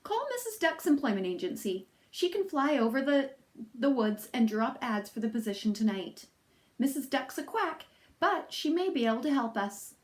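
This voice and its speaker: Woman reading as a bossy chief bunny, brisk businesslike orders, slightly lowered voice. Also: big pitch swings.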